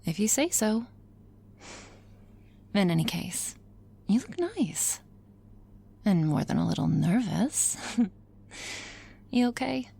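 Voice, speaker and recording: Casting: actress as flirty, sardonic female boss for ASMR roleplay; gravelly, unhurried, intimate, dips into whispers. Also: medium pitch, sing-song, mellow, full character voice. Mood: teasing, caring. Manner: playful, sardonic, drifting into breathy whispers. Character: teasing, cynical, flirtatious woman boss on coffee date.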